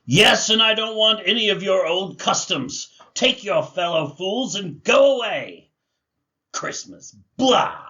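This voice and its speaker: A man's voice: sits high, expressive, slow pace, sharp tone, exaggerated voice. An actor in a vintage radio drama playing a grim, miserly old man who barks at people with scornful ill temper. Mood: angry, bellowing. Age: older. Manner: barking, scornful, irascible. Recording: dull vintage radio recording.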